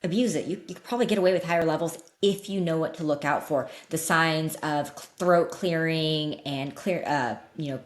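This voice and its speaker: A woman with a slightly deep voice, speaking as an expert guest in a candid, informal, emphatic way, explaining things in an interview conversation. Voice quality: slightly deep voice that cracks when loud. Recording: roomy echo.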